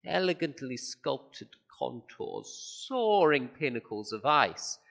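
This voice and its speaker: An actor playing a meek, halting old male planet designer in an amateur audio drama, precious about his art. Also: medium pitch, big pitch swings, vocal fry, a bit bright, heavily acted. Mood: indignant.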